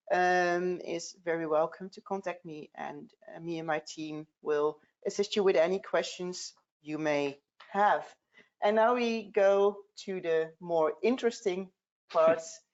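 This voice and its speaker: A woman's voice: fairly low pitch, dull audio. Dutch-accented woman hosting a webinar. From Netherlands. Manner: measured, with frequent um fillers. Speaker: tax partner hosting a webinar. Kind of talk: business webinar presentation.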